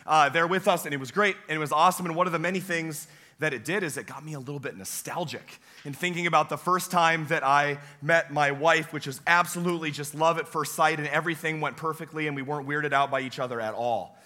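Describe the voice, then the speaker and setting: A young man, a campus pastor preaching a sermon in a church with a hoarse, weakened voice, telling folksy, self-deprecating stories. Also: a little high, fast talker, pushed and bright. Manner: folksy, self-deprecating storytelling with many uh fillers. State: hoarse, voice weaker than usual. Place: church sanctuary.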